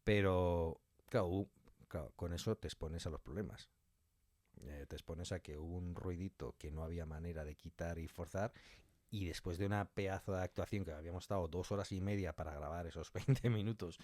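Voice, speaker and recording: A man's voice: deep voice, a little mellow, no room sound, studio quality. A man talking as a podcast guest about his creative work, emphatic and fond of acting out little quotes. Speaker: podcast guest, audio fiction writer and director. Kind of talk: animated interview conversation.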